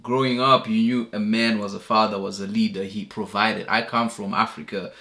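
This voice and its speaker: A man hosting a reaction video, talking in a relaxed, chuckling, conversational way. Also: lowish voice, moderate pace. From Zimbabwe. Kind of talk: casual commentary.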